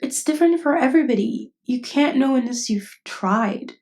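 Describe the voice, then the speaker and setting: A woman's voice: high voice, animated, normal speed, a little mellow. A woman voice acting a caring, indignant best friend in an intimate ASMR roleplay, speaking casually and bluntly. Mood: earnest, subdued. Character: protective, indignant female best friend comforting someone. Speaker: voice actress.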